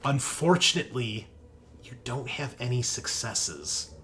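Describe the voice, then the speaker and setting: A man's voice: mid-range voice, big pitch swings, unhurried, a bit bright. A man improvising narration as game master of a tabletop roleplaying game, recorded with faint noise behind him.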